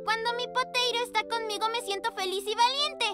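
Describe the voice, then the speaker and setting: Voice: very high-pitched, steady tone, brisk. A performer voicing a sweet little pug girl in a children's cartoon, earnest and childlike. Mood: proud, happy. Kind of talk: children's cartoon dub acting. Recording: loud music behind the voice.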